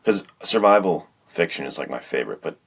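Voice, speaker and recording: A man's voice: rumbling low, expressive, brisk, creaky, fairly bright tone, slightly rough. A man co-hosting a podcast as an author, chatting in an informal, enthusiastic way. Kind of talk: casual chat.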